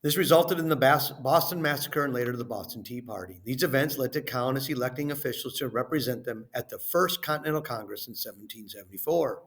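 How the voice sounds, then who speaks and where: A man reading a lesson and worksheet questions aloud as a teacher, in a deliberate, instructional way, stressing phrase openings and trailing off at the ends. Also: mid-range voice, a bit bright.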